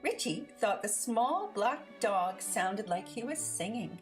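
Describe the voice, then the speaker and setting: A woman reading a children's picture book aloud as a storyteller, in an expressive, deliberate way. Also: mid-range voice, soft background music.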